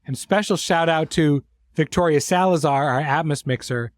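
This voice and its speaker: A man's voice: fairly high pitch, normal speed, dry recording. A middle-aged man hosting a podcast in an informal, conversational way, effusive with his praise. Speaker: host and series creator.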